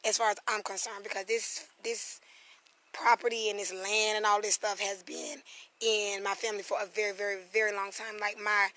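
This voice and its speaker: A hoarse woman from South Carolina, chatting loosely on a live-stream vlog outdoors on family land, greeting viewers by name as she goes. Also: mid-range voice, level tone, normal speed, pushed and bright. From South Carolina, USA. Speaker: spiritual reader and vlogger. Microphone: phone camera.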